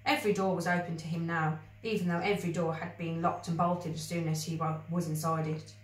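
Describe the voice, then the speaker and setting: A woman reading a children's story aloud with expressive narration. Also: fairly low pitch, a little fast. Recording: roomy, echoey, distant microphone.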